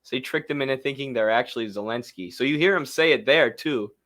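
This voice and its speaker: A man's voice: medium pitch, fast, fairly bright tone. A man giving casual, indignant and sarcastic political commentary as the host of a livestream. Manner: indignant, sarcastic, mocks others in put-on voices.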